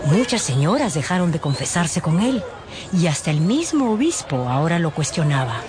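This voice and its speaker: A woman's voice: low-pitched, sing-song, moderate pace. A woman narrating a dramatized radio audiobook expressively, with conversational asides.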